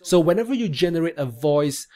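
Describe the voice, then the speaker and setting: A young man presenting a casual software review to camera, speaking into a close desk microphone. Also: fairly high pitch, animated, fairly quick. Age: young adult. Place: small indoor room. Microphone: desk microphone close in front.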